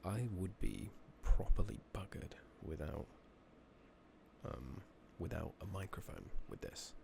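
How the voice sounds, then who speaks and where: A British man murmurs softly in a very deep, crackly voice with plenty of fry. He muses hesitantly and casually as he plays a game, ASMR style. The close microphone carries clear background noise. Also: animated, a little slow. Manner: rambling, hesitant, casual musing. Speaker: streamer playing an online fantasy game. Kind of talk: soft-spoken, whispering ASMR game commentary. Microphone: close makeshift microphone. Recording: noisy, slightly loud close microphone.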